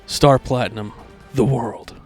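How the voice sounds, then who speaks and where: A man's voice: mid-range voice, fairly even intonation, a little slow, a little mellow, soft background music, close to an everyday voice. A man voicing a terse, exasperated, unimpressed fighter hero in a story reading. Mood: focused. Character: gruff, unimpressed young male fighter hero.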